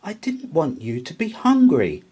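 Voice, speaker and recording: A small, indignant female mouse from a bedtime storybook, played by a man. The voice is squeaky and pushed well up high. It speaks earnestly and emphatically, with a very animated melody. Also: a little slow, slightly rough, full character voice. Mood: earnest, apologetic. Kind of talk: children's storybook character voice.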